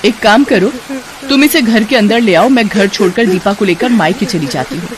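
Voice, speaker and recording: Woman putting on a harsh, scolding aunt, voice raised high. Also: moderate pace, crowd noise, natural voice, low-quality recording. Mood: angry, scolding. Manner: sharp, scolding, commanding. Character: harsh, scolding cruel aunt ordering her orphan niece around.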